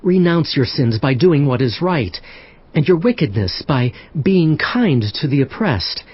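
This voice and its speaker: A man's voice: a little high, big pitch swings, unhurried, husky, full character voice. A man playing an earnest, respectful prophet, speaking formally and huskily in a dramatized scripture recording. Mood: earnest, grave. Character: earnest, respectful prophet interpreting a king's dream. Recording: slightly muffled.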